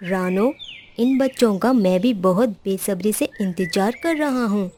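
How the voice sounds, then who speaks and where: A woman voicing a gentle, protective male sparrow, tender and earnest, in a children's cartoon. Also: mid-range voice, unhurried, slight background noise, pitched below the performer's own voice, close to an everyday voice. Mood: tender, caring. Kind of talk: Hindi children's cartoon dialogue.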